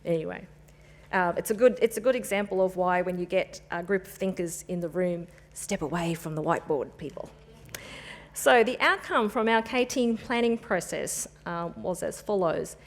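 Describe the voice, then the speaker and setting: A woman's voice: on the low side, normal speed. A woman presenting at a forum in a conference room, businesslike, working through her points with light asides.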